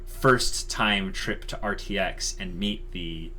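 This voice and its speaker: A man's voice: deep voice, takes it slowly, bright, forceful tone, a little hiss or hum. A man co-hosting a YouTube channel, chatting casually and unscripted in an enthusiastic, emphatic way, repeating himself for emphasis.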